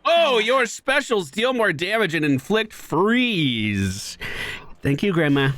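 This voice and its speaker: A man's voice: high-pitched, big pitch swings, slow, fairly bright tone. A man hosting a gaming channel, giving upbeat, playful live commentary as he plays. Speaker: gaming host.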